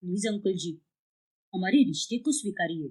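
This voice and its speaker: A woman's voice: mid-range voice, animated, moderate pace, a little mellow, voice pushed down, close to an everyday voice. A woman voicing a meek, earnest young man in love, pleading in a cartoon story. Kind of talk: cartoon moral story dialogue.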